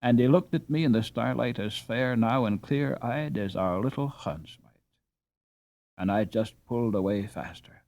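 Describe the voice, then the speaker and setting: A man voicing an injured, dazed Dutch husband in an audiobook, halting and groping for words as he strains to remember. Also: medium pitch, darkish tone, lightly acted. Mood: troubled recollection. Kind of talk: audiobook character dialogue.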